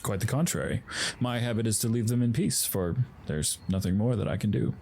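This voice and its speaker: A man's voice: lowish voice, fairly quick, vocal fry, a little mellow, a little hiss or hum. A man playing a solemn, guarded warrior spirit of emotion in an audio drama, speaking formally and stiffly. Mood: grave, concerned. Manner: formal, stiff, earnest speech.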